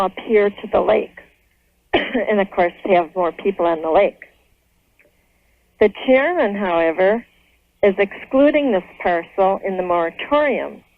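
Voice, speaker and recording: A woman's voice: medium pitch, dry recording. A woman with an American accent talking conversationally as a caller to a radio show over the telephone. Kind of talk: conversational phone call. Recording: narrow, tinny phone line.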